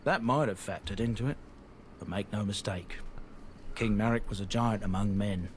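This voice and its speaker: An actor playing an earnest, proud merchant with a colloquial, plain-spoken way of talking in a fantasy game. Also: male voice, fairly low pitch, normal speed, slight background noise, natural voice. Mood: admiring, storytelling. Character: earnest, proud merchant man defending his disgraced family's honor. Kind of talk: scripted fantasy game dialogue.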